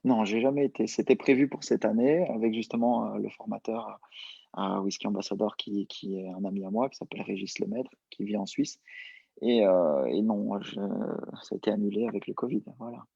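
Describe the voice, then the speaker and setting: A young man answering interview questions casually, relaxed and hesitant with many fillers. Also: on the low side, brisk, gravelly, slightly rough, dry recording. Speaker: entrepreneur guest. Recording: dull lo-fi sound.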